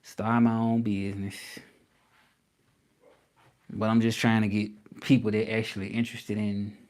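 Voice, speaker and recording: A man's voice: lowish voice, level tone, a little slow, darkish tone. Man chatting casually to livestream viewers. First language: English. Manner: chatty, repetitive, addresses viewers as y'all. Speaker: livestreaming creator and language tutor.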